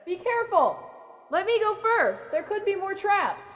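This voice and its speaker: An actress playing a level-headed, cautious woman versed in ancient Egypt, in a measured, ominous audio drama style. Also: extremely high pitch, very animated, lo-fi sound, over loud music. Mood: alarmed. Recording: echoey stone chamber reverb.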